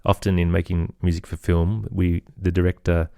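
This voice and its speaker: A man with an Australian accent, talking as an interview guest in an agreeable, conversational way with softened phrasing. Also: deep voice, level tone, moderate pace, creaky, no room sound, studio quality. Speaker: podcast guest, musician and composer.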